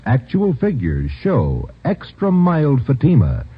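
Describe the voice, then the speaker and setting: A man's voice: medium pitch, huge pitch swings, slow, husky. A man reading a 1950s radio commercial as an emphatic announcer and salesman. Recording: dull old radio recording.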